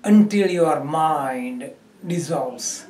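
A man teaches guided meditation at an extremely slow pace. He speaks instructively in short phrases separated by pauses. The recording is echoey, with the microphone some distance away. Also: fairly high pitch, animated, a little mellow. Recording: roomy echo, distant microphone.

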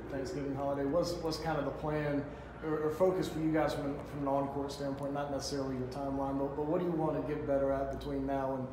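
Male reporter at a postgame presser, rambling questions; monotone delivery, noisy room. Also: medium pitch, fast talker. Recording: echoey room with noise.

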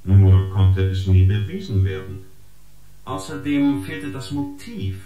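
An actor playing a knowing investigator who reports a scandal in a science fiction radio play. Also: male voice, lowish voice, very animated, takes it slowly, muffled recording. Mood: knowing, intrigued. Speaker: actor in an audio drama. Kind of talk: dramatised expository storytelling in a radio play. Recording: echoey, guitar music behind. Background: plucked guitar music.

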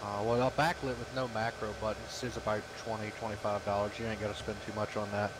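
A man's voice: on the low side, flat delivery, fast. Man chatting over hissy voice chat. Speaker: gamer chatting with teammates. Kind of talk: casual chat. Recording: noisy voice chat, clear hiss.

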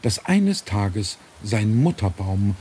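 Mellow, low-voiced male storybook narrator. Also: big pitch swings, slow pace, a little hiss or hum. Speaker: audiobook narrator. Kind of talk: scripted children's storybook narration. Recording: nature ambience and birdsong behind voice. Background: birds, forest ambience.

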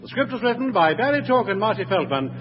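High, lively English radio announcer, male. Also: fast. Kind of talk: 1960s broadcast closing announcement. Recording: dull, noisy vintage radio recording.

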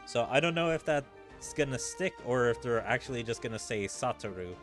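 A man comments casually on a game he is streaming. His mid-pitched voice moves around a lot, and music plays underneath. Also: expressive, moderate pace. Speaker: gaming streamer.

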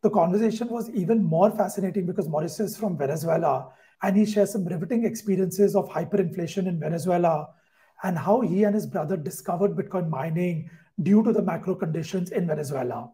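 A man in his thirties hosting a podcast interview from a room at home over a video call, speaking in a measured way. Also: high-pitched, dark tone. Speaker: podcast host. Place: home room indoors at night.

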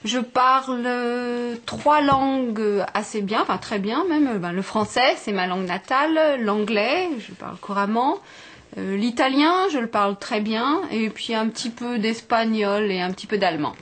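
A woman's voice: fairly high pitch, moderate pace, fairly bright tone. A woman in her thirties, a language teacher, chatting at a family table in a living room and hesitating with fillers. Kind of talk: casual family chat.

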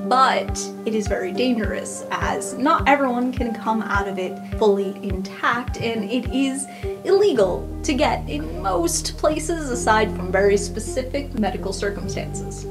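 Female game master, fairly high voice, casual improvised narration, music clearly underneath. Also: animated, unhurried. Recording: music behind the voice.

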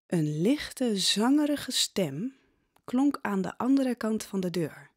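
A woman's voice: medium pitch, very animated, slow, darkish tone, slightly rough, no echo. Woman narrating a story, dry sound. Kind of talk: scripted storytelling narration for an audio drama.

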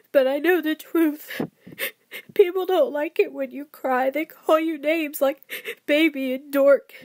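A woman's voice: sits high, dry recording, clean studio sound. A woman reading a first-person story as a sensitive young child, anxious and loyal, in an emotive, naive, confiding way. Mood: tearful, pleading.

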